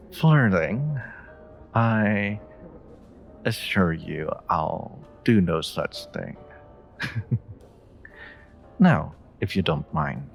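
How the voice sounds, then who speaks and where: Man playing a deep-voiced, flirty charming stranger. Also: creaky, full character voice. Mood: teasing. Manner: teasing, hesitant pauses, frequent soft chuckles. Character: charming flirty male stranger at a party, teasing and suave. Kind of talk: intimate roleplay audio acting.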